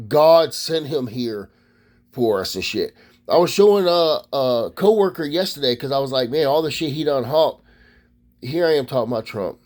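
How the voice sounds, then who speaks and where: A man's voice: medium pitch, darkish tone. A man from Maryland, USA, delivering a profane, rambling casual monologue as a vlogger.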